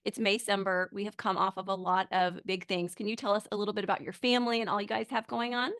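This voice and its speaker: A woman hosting a podcast interview, her voice a little raspy, talking in a bubbly, effusive way and laughing often. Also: medium pitch, fairly even intonation, fast, a bit bright. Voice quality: raspy today. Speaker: podcast host.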